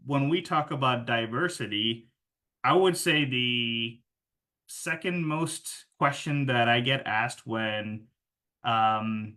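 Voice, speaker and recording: A man's voice: mid-range voice, pushed and bright. Tamil-native man presenting a training session. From South India. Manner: conversational, explanatory, with frequent "um" fillers. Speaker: corporate trainer.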